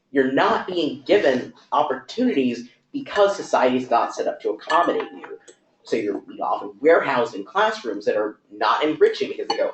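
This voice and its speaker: Guy talking it through fast with lots of ums, mid pitch, dull roomy recording. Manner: thoughtful, rapid run-on explaining with fillers. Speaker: talk speaker. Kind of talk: conversational talk. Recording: echoey room, dull lo-fi sound.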